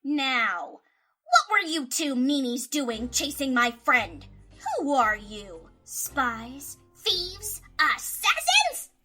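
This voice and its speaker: A woman voicing a bubbly, playful, excitable young female pony in a cartoon audio drama, with a chirpy, theatrical manner. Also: high voice, very slow, faint music behind, full character voice. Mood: suspicious.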